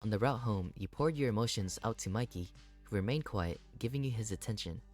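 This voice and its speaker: Expressive man doing a game let's play. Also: on the low side, fairly quick, soft background music. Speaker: gaming video host. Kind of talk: game let's play, reading visual novel narration aloud with reactions.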